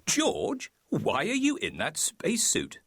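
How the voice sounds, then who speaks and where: A woman's voice: low voice, huge pitch swings, slow, slightly rough, no room sound, clean studio sound, voice pushed up, heavily acted. A woman voicing a kindly father pig in a children's storybook, explaining things in a patient, reassuring manner. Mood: fond, instructing. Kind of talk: children's bedtime story character voice.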